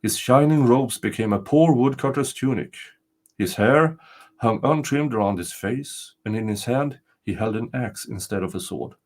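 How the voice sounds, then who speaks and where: A man's voice: mid-range voice, moderate pace, a little mellow. An older man narrating a folktale as a storyteller, reading from a written text. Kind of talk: scripted folktale narration, read aloud.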